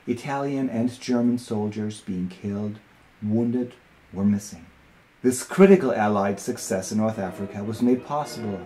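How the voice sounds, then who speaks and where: A man's voice: fairly low pitch, warm tone. A man presenting and narrating a historical newsreel. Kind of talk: scripted historical narration with informal thanks and appeals.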